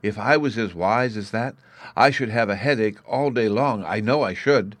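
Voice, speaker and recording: A man voicing a gentle, earnest young girl in a storybook, a protective big sister with a pleading manner. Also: fairly low pitch, moderate pace, no room sound, pitched above the performer's own voice, close to an everyday voice. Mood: awestruck.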